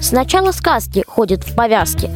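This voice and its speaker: A woman's voice: fairly high pitch, big pitch swings, normal speed, music in the background. A young woman narrating a rhymed folk tale as storyteller in a radio play.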